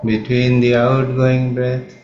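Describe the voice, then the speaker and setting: A man with an Indian accent leads a guided meditation in a calm, measured manner, leaving long pauses between phrases. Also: mid-range voice. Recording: roomy, slightly muffled, faint background noise.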